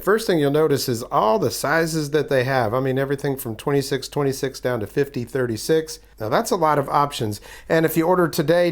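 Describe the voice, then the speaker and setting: Raspy, hoarse-voiced man reviewing gear. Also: mid-range voice. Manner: chatty, rambling, easygoing. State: raspy, hoarse voice after illness. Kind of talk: casual product review vlog.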